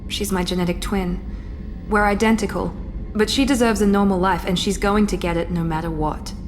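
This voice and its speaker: A woman's voice: medium pitch, steady tone, fairly quick, music in the background, lightly acted. An actress playing a cool, reserved female operative who speaks in a formal, restrained way. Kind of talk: video game voice acting.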